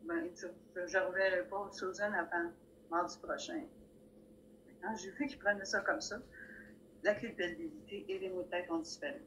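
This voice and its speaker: A woman's voice: lowish voice, lively intonation, normal speed, a bit bright, a little hiss or hum, poor audio. An older woman from Montreal, sharing wry personal anecdotes as a guest speaker on a video call from a library room. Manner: plainspoken storyteller, wry asides. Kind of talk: personal anecdotes at a community talk. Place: library room with bookshelves, indoors. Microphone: video call, laptop camera.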